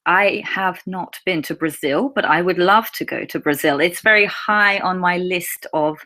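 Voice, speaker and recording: A young woman with a slightly low voice, whose was Russian. She talks in an easygoing, chatty way and answers viewers' questions one by one. She keeps her words clear and simple for learners. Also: normal speed, sharp tone. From England. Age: young adult. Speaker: English teacher. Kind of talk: casual live Q&A.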